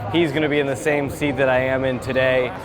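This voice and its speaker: A man's voice: mid-range voice, flat delivery, quick pace. A man in his twenties, a sports broadcaster, casually answering interview questions about himself. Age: 20s. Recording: music laid under interview. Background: music.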